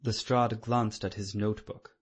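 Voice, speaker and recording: Casting: male storyteller, lowish pitch, slightly husky, for scripted classic-novel narration. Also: level tone, moderate pace. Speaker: audiobook narrator.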